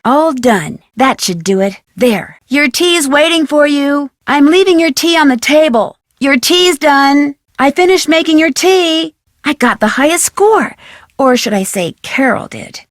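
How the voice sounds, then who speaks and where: An American woman playing a curious, plucky, polite young detective in an adventure video game, with clear, inquisitive delivery. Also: high-pitched, expressive, unhurried, no room sound, clean studio sound. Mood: polite, upbeat. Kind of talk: scripted adventure game dialogue.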